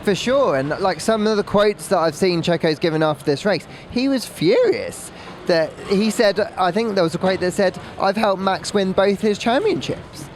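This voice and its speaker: A man's voice: high voice, expressive, normal speed, a little hiss or hum. A man giving casual sports analysis as a motorsport podcast pundit.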